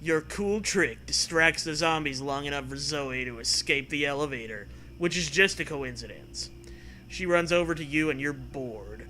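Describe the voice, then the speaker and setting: A man with a slightly high, intensely bright voice reads from a gamebook at a measured pace. He is a playful, theatrical co-host who drops in joking commentary. Faint noise sits behind him. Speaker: podcast co-host.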